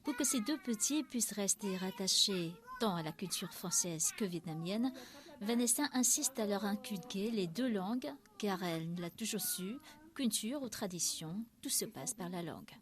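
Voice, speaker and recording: A woman giving smooth, scripted documentary narration in an even storytelling manner. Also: medium pitch, moderate pace. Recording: narration over loud background music.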